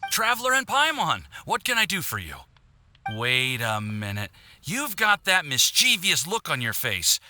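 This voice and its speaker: Anime game youth: eager, flustered assistant, high-pitched, piercingly bright, exasperated and hesitant. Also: male voice, wildly swooping pitch, unhurried, slight background noise, exaggerated voice. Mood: wary. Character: eager, flustered young male assistant alchemist.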